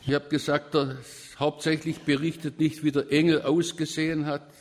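A man's voice: fairly high pitch, flat delivery, moderate pace. A man with a Swabian accent preaching a sermon, emphatic and at times rising to fervent proclamation. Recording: long reverb of a church.

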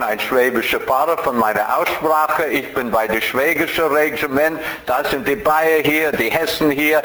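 A man lecturing with dramatic, emphatic delivery in German with non-native grammar. He talks slowly and leans hard on repeated phrases. The sound is roomy and echoey, as if he is in a large hall. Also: medium pitch, a bit bright. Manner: dramatic, emphatic, repeats key phrases, voice rising sharply at climaxes. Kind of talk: impassioned lecture.

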